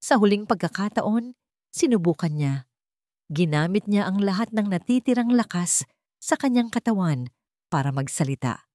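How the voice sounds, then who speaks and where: A woman's voice: mid-range voice, lively intonation, normal speed, long pauses, mellow, no room sound, studio quality. A woman with a Filipino accent narrating a scripted love story in a solemn, emotive, dramatic way.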